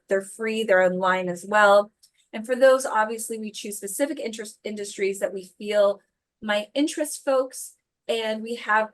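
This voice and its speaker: A woman from a career services office, upbeat and promotional, introducing programs and a guest speaker on an online video call. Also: mid-range voice. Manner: upbeat, promotional, some restarts. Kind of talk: webinar introduction.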